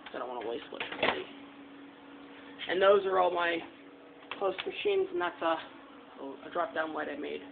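Gravelly man on a tinny phone recording. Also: fairly high pitch, wildly swooping pitch, moderate pace, long pauses. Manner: plain, matter-of-fact, pointing things out. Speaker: home video maker giving a tour. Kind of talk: casual walk-through tour. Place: home basement, indoors. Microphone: handheld phone. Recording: tinny phone-like audio with clear background noise.

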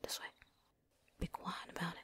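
Woman acting whispering, folksy female vampire hunter. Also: close to an everyday voice. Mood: hushed, wary. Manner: folksy, rambling, with drawn-out asides. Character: chatty, cocky seasoned female vampire hunter, loner. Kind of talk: whispered ASMR roleplay acting.

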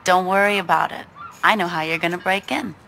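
A woman's voice: lowish voice, steady tone, brisk, creaky, noisy background. An actress playing a laid-back young gangster stoner woman in a video game, casual, slangy and wry. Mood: breezy.

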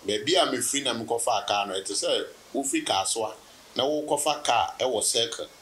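A Ghanaian man in his thirties, a business guest in a relaxed sit-down interview, telling his story with laughs and anecdotes. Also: mid-range voice, moderate pace, low-quality recording. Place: indoor room, seated on sofa.

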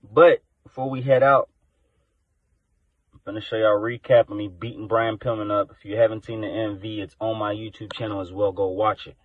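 A man's voice: on the low side, little pitch movement, a little fast, slightly rough, dry recording, phone-quality sound. A man in his twenties, a vlogger and rapper, talking in a laid-back, slangy, hyped way into a phone in his car. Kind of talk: casual vlog talk. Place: inside a parked car. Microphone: phone held close.

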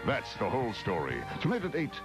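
A man's voice: mid-range voice, huge pitch swings, fairly quick, low-quality recording. An American man as a promo announcer, reading a 1980s script dramatically in clipped phrases. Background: music.

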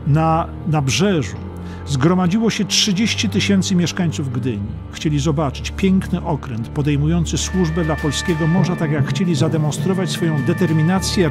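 A man reading a scripted historical audiobook as narrator, in a measured, dramatic storytelling manner. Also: fairly high pitch. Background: music under the narration.

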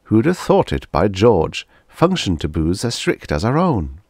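An excitable middle-aged professor, as a male reader plays him, pompous and full of wonder. The pitch ranges widely over a creaky male voice, in storybook style. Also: unhurried, no echo, clean studio sound, heavily acted. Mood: wondering, excited. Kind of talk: storybook character reading.

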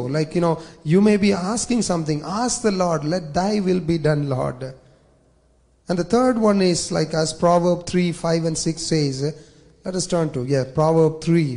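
High-voiced man preaching into a handheld mic. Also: a little mellow. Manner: preaching with questions to the congregation, rising to emphatic passages. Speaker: pastor. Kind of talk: sermon. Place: church hall, indoors. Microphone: handheld microphone.

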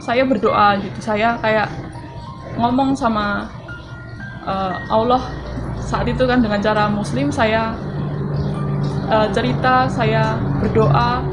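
A young woman giving a personal faith testimony in an earnest, halting way, with many fillers and restarts, recorded close on a camera mic. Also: a little high, poor audio. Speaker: testimony giver. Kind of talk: personal testimony, casual storytelling. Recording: voice over clear background music. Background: background music.

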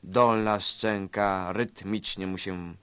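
A man's voice: low-pitched, steady tone, slow pace, fairly bright tone, clear, resonant tone, no echo. A man narrating a satirical story in a scripted, dramatic storytelling style.

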